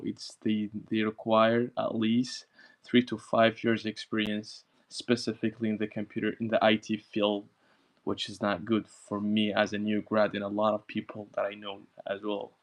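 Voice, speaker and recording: A man's voice: lowish voice, lo-fi sound. A young man in his twenties introducing himself at a meetup, casual and somewhat subdued, often saying "like.". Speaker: meetup participant. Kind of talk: self-introduction.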